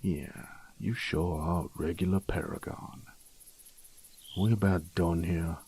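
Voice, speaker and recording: Man playing a deep, gravelly, grumbling bandit knight. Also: fairly even intonation, slow pace, darkish tone, a little hiss or hum, heavily acted. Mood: grudging, sarcastic. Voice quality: low, gravelly. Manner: grumbling, folksy drawl, crude. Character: gruff, rustic, sardonic bandit turned knight, male, thick-skinned nonhuman. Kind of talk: audio roleplay drama.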